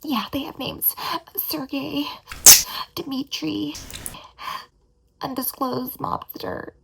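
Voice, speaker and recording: A woman with an American accent voicing a ditsy, dramatic young abductee in a whispered ASMR role play, breathy and prone to whining complaint. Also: high voice, steady tone, drawn-out words, fairly bright tone, a little hiss or hum, heavily acted, poor audio. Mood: earnest, conspiratorial.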